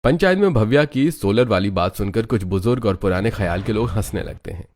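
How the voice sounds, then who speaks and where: Low-pitched male story narrator. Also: lively intonation, a little fast, a little mellow.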